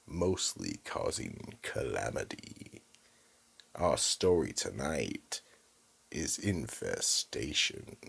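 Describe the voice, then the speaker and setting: A man's voice: extremely low pitch, creaky, a bit bright, breathy edge, heavily acted. A man playing an ominous keeper of a vault, narrating horror radio stories in a theatrical, portentous way. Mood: ominous, grand. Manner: slow, dramatic, portentous delivery. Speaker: host and narrator.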